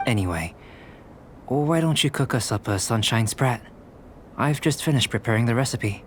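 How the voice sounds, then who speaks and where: A man voicing a calm, curious young male alchemist who speaks in a measured, polite way, in a video game with music behind. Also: medium pitch, heavy background noise, lightly acted.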